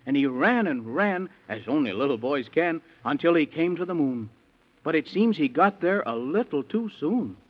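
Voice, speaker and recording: A man narrating a rhyming 1930s radio bedtime story in a playful, theatrical, rhythmic way. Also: fairly high pitch. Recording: dull, muffled 1930s radio recording.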